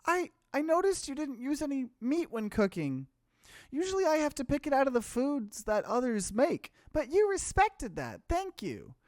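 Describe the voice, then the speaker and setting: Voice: high voice, sing-song, moderate pace, a little mellow, dry recording, clean studio sound, pitched above the performer's own voice. A let's play host voicing a timid, stammering young woman of about twenty in a visual novel. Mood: shy gratitude. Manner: stammering, hesitant. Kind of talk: acted visual novel reading.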